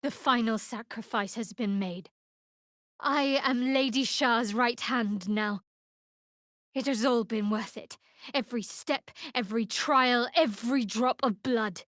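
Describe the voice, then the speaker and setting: An actress playing a guarded, sardonic young female cleric of a dark goddess in a video game, speaking with dry, wry, clipped sarcasm. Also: fairly high pitch, slow pace, dry recording. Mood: devout, solemn. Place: dry studio recording.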